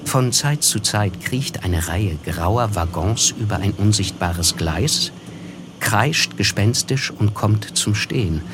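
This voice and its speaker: An actor playing a reflective, wryly observant gentleman who narrates a literary radio play in a measured way. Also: lowish voice, expressive, noisy background. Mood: somber, observant. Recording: music under the voice. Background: music.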